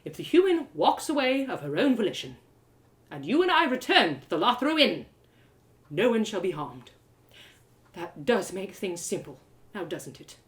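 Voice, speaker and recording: A woman's voice: medium pitch, big pitch swings, normal speed, pauses often, natural voice. A woman voicing a hostile male archer in a storybook, with a taunting, menacing and dramatic manner. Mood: cold threat.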